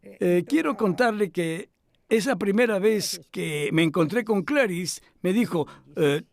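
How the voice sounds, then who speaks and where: Older man, high voice, measured answers. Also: lively intonation, vocal fry. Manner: thoughtful, measured, with pauses and fillers. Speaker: interviewee, literary scholar. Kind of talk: Spanish voice-over of an interview.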